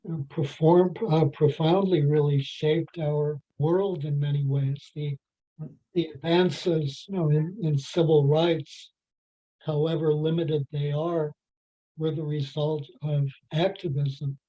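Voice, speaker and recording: A slow, deliberate speaker in an adult class discussion, feeling for each phrase with fillers and restarts. The tone is mellow and a little dark. It comes through a dull, lo-fi video call. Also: medium pitch, steady tone. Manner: halting, heavy with "you know" fillers and restarts. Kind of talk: group discussion. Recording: dull lo-fi sound.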